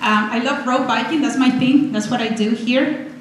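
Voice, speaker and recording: Excited woman in an echoey hall. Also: on the high side, moderate pace. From Venezuela. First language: Spanish. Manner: excited, chatty, many restarts. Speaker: guest speaker. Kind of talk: energetic public talk with slides. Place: college lecture room. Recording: echoey hall, faint noise.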